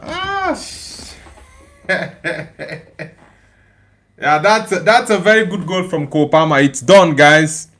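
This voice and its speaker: Nigerian man slowly reacting to live football. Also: high voice, animated, fairly bright tone. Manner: casual, repeats phrases, chats with viewers. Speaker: watchalong streamer. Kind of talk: live football watchalong, reading chat.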